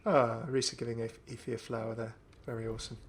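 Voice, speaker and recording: A man's voice: medium pitch, little pitch movement, brisk, slightly rough. Enthusiastic young man commentating. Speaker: gaming video host. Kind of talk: casual first-look game commentary.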